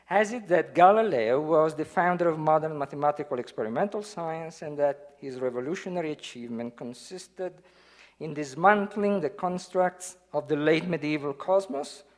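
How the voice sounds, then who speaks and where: A man from Italy with an Italian accent, giving an academic lecture in a hall, hesitant with fillers when speaking freely and reading parts of it aloud. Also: on the high side. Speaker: guest lecturer.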